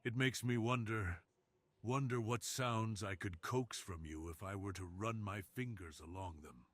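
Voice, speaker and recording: A seductive crime boss, acted out by a man for an intimate ASMR roleplay. His voice sits on the low side, slow and hushed, slipping toward a whisper. He sounds commanding and teasing, with a tender streak under the hard exterior. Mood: dominant, admiring.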